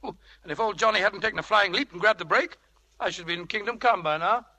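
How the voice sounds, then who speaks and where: An actor playing a jovial, bumbling upper-class English gentleman who blusters and stammers, in old radio drama style with a British accent. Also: sits high, quick pace, heavily acted. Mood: excited storytelling. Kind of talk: 1940s radio acting.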